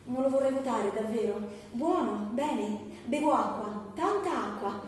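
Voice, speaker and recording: A woman's voice: on the high side, expressive. A woman playing a frantic, forcedly cheerful jobless daughter in a surreal satirical stage show, agitated and repetitive. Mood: forcedly upbeat. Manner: rapid listing, repetitive, agitated. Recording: distant echoey hall, faint noise.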